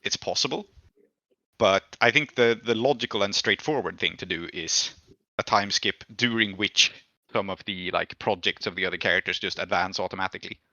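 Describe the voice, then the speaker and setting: A man's voice: deep voice, normal speed, pushed and bright, dry recording, lo-fi sound. A man game-mastering a tabletop roleplaying session, casually thinking aloud and explaining his proposals as he goes.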